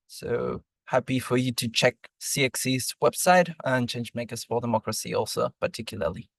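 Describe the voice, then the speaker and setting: A man's voice: medium pitch, fairly even intonation, creaky, no room sound. Argentine man, dry close sound. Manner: measured, with filler ums. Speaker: program lead. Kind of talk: interview answers.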